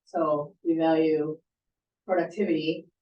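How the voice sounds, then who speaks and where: A woman therapist chats through audience questions about sleep, loose and informal with lots of fillers and restarts. Her voice sits a little low. It is picked up from a distance in an echoey room, slightly muffled. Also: little pitch movement, measured pace, a little hiss or hum. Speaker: sleep therapist giving a talk. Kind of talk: casual talk answering audience questions. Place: meeting room at a support center.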